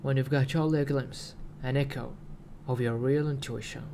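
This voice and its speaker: A man voicing a solemn alien prophet who makes grandiose, halting proclamations in archaic words, as in a storybook reading. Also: mid-range voice, steady tone, vocal fry, dark tone, a little hiss or hum, close to an everyday voice.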